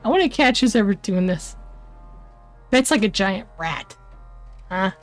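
A woman's voice: mid-range voice, animated, a little slow, faint music behind. A woman streamer giving casual commentary on a horror game, muttering short, wary reactions to herself.

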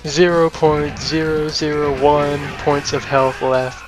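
A man's voice: on the high side, level tone, takes it slowly. A man co-commentating on gameplay casually in short bantering quips. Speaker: let's play co-commentator. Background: video game music.

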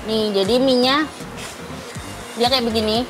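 A young woman hosts a food show, her voice pitched high as she tastes and chats eagerly with the cook and the viewers. She talks casually in an open restaurant hut. Music plays clearly in the background. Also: fairly even intonation, unhurried. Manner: chatty, enthusiastic, talks to viewers. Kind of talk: casual food review chat.

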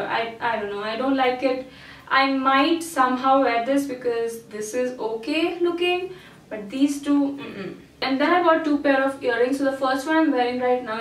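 A woman in her twenties with a casual, chatty way of talking, full of ums and restarts, reviewing her purchases to camera indoors. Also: sits high. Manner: chatty, hesitant, lots of um and I mean. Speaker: beauty vlogger. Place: small indoor room. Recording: roomy echo, mic at a distance.

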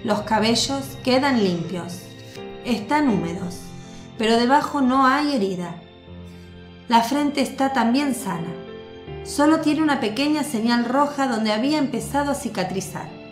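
A woman giving measured, scripted narration for a radio drama. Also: fairly high pitch, animated. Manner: measured, descriptive. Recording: echoey with music bed.

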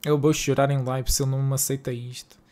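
Mellow-voiced Porto streamer, slangy and exasperated. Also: male voice, medium pitch, little pitch movement, quick pace. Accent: northern Portuguese, Porto. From Portugal. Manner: casual, slangy, exasperated, joking with chat. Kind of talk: live-stream gaming chat. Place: home streaming room.

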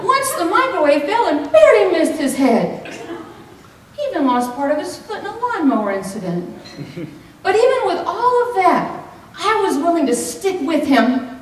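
A middle-aged woman performing a comedic tall tale on stage, with theatrical, deliberate delivery and dramatic pauses. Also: extremely high pitch, sing-song. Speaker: speech contest contestant. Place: hotel banquet room, indoors. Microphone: far camera microphone. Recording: echoey room from far off, some noise.

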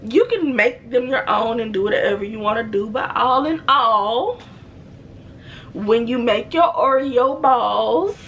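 A woman's voice: a little high, fairly bright tone. Chatty young woman cooking vlogger. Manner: chatty, playful, talks straight to viewers as "y'all". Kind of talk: casual cook-with-me chat. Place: home room, indoors.

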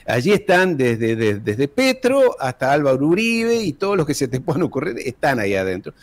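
A man's voice: medium pitch, sing-song, normal speed, dry recording. A man, a guest historian speaking emphatically, posing rhetorical questions and repeating key phrases, talking into a desk microphone in a small room at home. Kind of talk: interview over video call. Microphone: large desk microphone close up.

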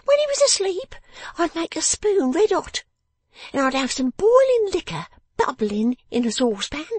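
A woman voicing a sharp-tongued, bossy, vengeful young woman in a storybook, with emphatic, snapping speech. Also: extremely high pitch, very animated, moderate pace, dry recording, voice pushed up. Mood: brooding, vengeful. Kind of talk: dramatised storybook reading.

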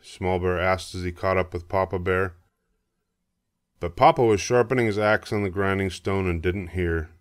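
An older man narrating a children's storybook, reading aloud in a measured, expressive way. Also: low-pitched, no echo, studio quality.

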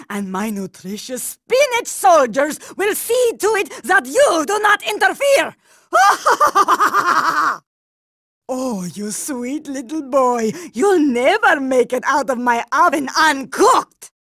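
A high, wildly swooping old granny villain from a cartoon game. She gloats with mock sweetness and menace, taking her time over every threat as she fusses about feeding children. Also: sing-song, slow pace, creaky, fairly bright tone, no echo, clean studio sound, full character voice. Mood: menacing glee. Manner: gloating, mock-sweet, booming exclamations with hearty ho-ho chuckles. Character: fat, doting yet menacing villainous cartoon granny obsessed with overfeeding children. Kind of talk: theatrical cartoon villain voice acting for a video game.

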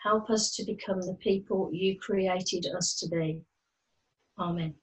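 A woman's voice: lowish voice, steady tone, measured pace. A middle-aged woman reading out a prayer at home, a little way from a webcam microphone. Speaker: church member leading prayer. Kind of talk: read-out prayer. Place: room at home. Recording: slightly distant webcam mic.

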